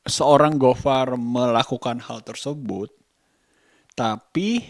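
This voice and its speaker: Slow, halting man vlogging. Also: medium pitch, dry recording, studio quality. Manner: halting, deliberate, searching for words. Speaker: vlogger, host. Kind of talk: casual opinion vlog to camera.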